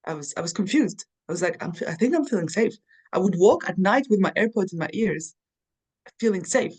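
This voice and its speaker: A woman's voice: medium pitch, expressive, brisk, darkish tone, slightly rough. Woman, from Belgium, podcast guest, earnest, storytelling, casual chat. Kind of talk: casual conversation.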